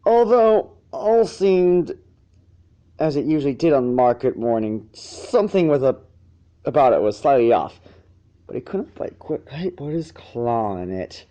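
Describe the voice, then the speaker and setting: A man reads a story aloud in a relaxed, mellow tone. His pitch swings expressively, and his amateur narration has stumbles and repeated phrases. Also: unhurried, dull audio.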